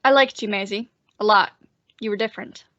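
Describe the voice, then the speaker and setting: A woman's voice: medium pitch, fairly quick, a bit bright, dry recording, lightly acted. In a dry, dull-sounding radio comedy, a lovelorn young American husband played by a woman confides his troubles. The delivery is earnest and folksy, in the manner of 1940s radio. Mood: rueful, earnest.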